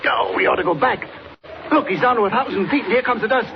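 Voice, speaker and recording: Airfield chief: higher-voiced, gruff, emphatic 1940s radio acting, noisy old recording. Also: male voice, quick pace, lo-fi sound. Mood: frantic alarm.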